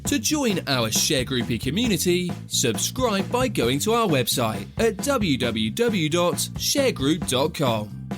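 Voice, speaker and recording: A man reads a scripted podcast outro in an upbeat promotional tone. His fairly high voice swings lively in pitch. Loud drums and guitar play behind him. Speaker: podcast announcer. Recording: voice over loud music.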